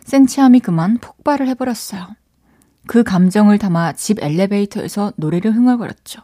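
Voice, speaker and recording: A young woman hosting a radio show, chatting casually and reading out listener stories with quick exclamations. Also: sits high, dark tone, no echo, clean studio sound.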